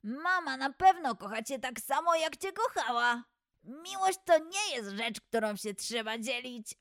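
A woman playing a caring, patient young male animal friend in a children's storybook, speaking in a gentle, reassuring, measured way. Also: sits high, expressive, no room sound, studio quality.

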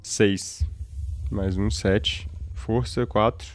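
A man's voice: on the low side, fairly even intonation, extremely slow. A man with a Brazilian accent playing in a tabletop game, reading out his dice rolls matter-of-factly. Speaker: player. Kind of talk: casual tabletop roleplay.

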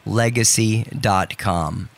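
A man who performs as a guest on a radio show, his voice lowered by allergies, talking in a chatty, enthusiastic way. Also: slow pace. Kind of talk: casual radio interview. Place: radio studio.

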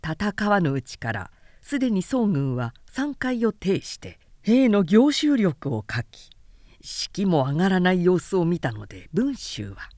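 A woman professional narrator reading a literary story in a deliberate, expressive, dramatic style. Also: fairly low pitch, no echo, studio quality.

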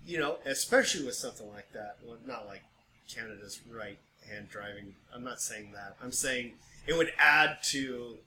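A man co-hosting a podcast, chatting casually in a jokey, animated and rambling way. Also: medium pitch, moderate pace. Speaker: podcast co-host. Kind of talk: casual chat. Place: small room, podcast setup. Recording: distant echoey mic, background noise.

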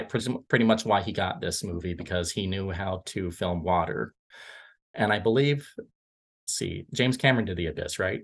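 A man co-hosting a film podcast, chatting casually in a halting, rambling way and often correcting himself. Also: on the low side.

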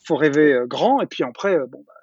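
A middle-aged man speaks in a husky, slightly high voice, racing through his story. He is a chatty interview guest who keeps restarting and repeating words. The recording is dry and a little dull. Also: expressive, brisk, a little mellow, dry recording. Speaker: entrepreneur interviewee. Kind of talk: casual conversational interview.

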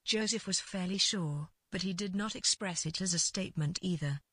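Slightly low, husky woman narrating fiction. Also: normal speed, a bit bright, no room sound, studio quality. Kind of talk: scripted literary fiction narration.

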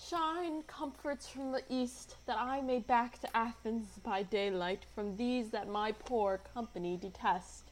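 A woman's voice: a little high, measured pace, few pauses, a little hiss or hum, natural voice, low-quality recording. A woman playing a lovelorn, sorrowful young lady in a Shakespearean radio play, lamenting wearily in verse. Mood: weary, sorrowful.

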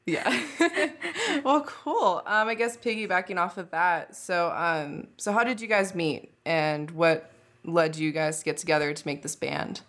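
A woman's voice: fairly low pitch, lively intonation, unhurried, fairly bright tone. A young woman hosting a podcast interview, bubbly and giggly, with hesitant phrasing. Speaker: podcast host.

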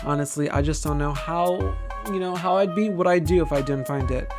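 A woman's voice: sits low, fairly quick, music in the background. A woman hosting a solo podcast in a casual, rambling way, with a gushing, theatrical and emphatic manner. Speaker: podcast host.

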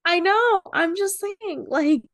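A woman's voice: very high-pitched, lively intonation, slow pace, dry recording, studio quality. A woman co-hosting a podcast in casual chat, laid-back and teasing, often laughing. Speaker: podcast co-host.

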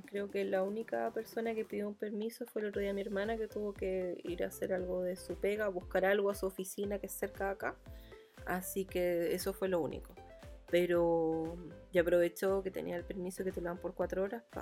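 A woman's voice: medium pitch, level tone, brisk, darkish tone. Casual Chilean woman podcasting over music. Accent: Chilean Spanish (Santiago). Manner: informal, rambling, colloquial. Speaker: podcast host. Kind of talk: casual chatty solo monologue.

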